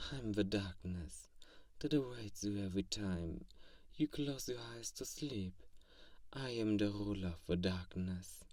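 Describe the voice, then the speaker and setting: A man's voice: lowish voice, a little mellow. A man playing a haughty, teasing vampire with a secretly kind streak, theatrical and whispery in an ASMR roleplay. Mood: grandiose, menacing. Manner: slow theatrical delivery with whispery asides and chuckles. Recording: roomy echo, distant from mic.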